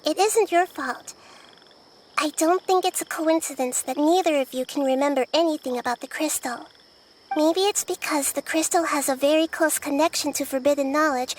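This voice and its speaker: A woman voicing a gentle, youthful goddess of wisdom who reasons things through in a soft, thoughtful way. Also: high voice, a little hiss or hum, close to an everyday voice, low-quality recording. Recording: game audio with music. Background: game music.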